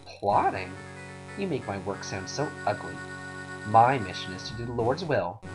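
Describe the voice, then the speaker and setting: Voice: medium pitch, very animated, normal speed, close to an everyday voice, rough recording. A performer plays a woman who serves the church as a secret agent in a fantasy game. She is devout and defiant, with a cool, cutting manner and varied intonation. Music runs behind the voice. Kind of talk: voiced game cutscene dialogue.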